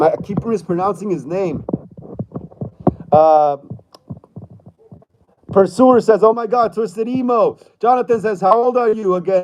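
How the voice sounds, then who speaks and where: A man in his thirties with a notably high voice hosts a relaxed livestream chat. He reads viewer comments aloud, stumbles and repeats words, and keeps calling people bro. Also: a little hiss or hum, rough recording. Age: 30s. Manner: laid-back, stammers and repeats himself, says bro a lot. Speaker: livestream host.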